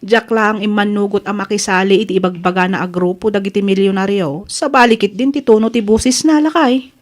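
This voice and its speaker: A woman's voice: mid-range voice, fairly quick, natural voice. A woman playing a modest, cautious village housewife, polite and hesitant, with a Filipino Ilocano accent in a radio comedy drama. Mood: wary.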